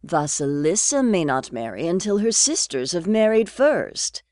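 A woman's voice: on the low side, big pitch swings, unhurried, a little mellow, no echo, studio quality. A woman playing a cruel, haughty middle-aged stepmother in a fairy tale audio drama. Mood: haughty, spiteful.

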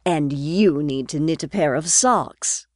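A woman playing a stern, scheming stepmother who gives orders deliberately, in a fairy tale audio drama. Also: sits low, dry recording, studio quality. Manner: deliberate, commanding.

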